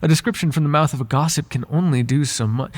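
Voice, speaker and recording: A man playing a devoted, flirtatious butler with a secret in an intimate ASMR roleplay, speaking softly in polite, formal phrases with halting hesitations. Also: a little high, close to an everyday voice. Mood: uneasy curiosity. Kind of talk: ASMR roleplay voice acting, intimate one-sided dialogue.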